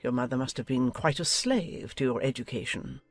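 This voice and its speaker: A woman's voice: rumbling low, normal speed, no room sound, soft background music. A woman playing an imperious, nosy older noblewoman in a dramatized audiobook, haughty and decisive. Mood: incredulous, disapproving. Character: imperious, nosy older aristocratic English lady.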